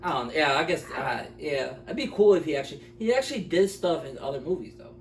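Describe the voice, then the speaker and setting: A man's voice: a little high, very animated. Man in casual, blunt chat, echoey room. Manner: blunt, incredulous, halting. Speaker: co-host of a film review show. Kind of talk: casual, unscripted film review chat. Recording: echoey room, distant mic, faint noise.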